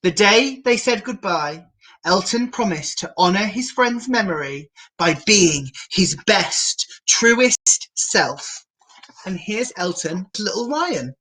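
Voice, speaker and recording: A woman with a mid-pitched voice reads a children's picture book aloud at an unhurried, slow pace. Her delivery is theatrical and playful, and she speaks directly to the viewers as a storyteller would. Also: expressive, a bit bright, low-quality recording. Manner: theatrical, playfully self-mocking. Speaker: children's storyteller, drag queen.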